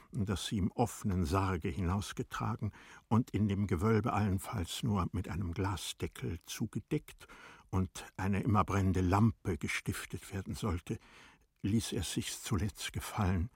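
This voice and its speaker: A man narrating a tragic classic story in a radio play, in a solemn, measured, dramatic manner. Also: sits low, a little mellow, husky, no echo, clean studio sound, heavily acted. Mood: grave.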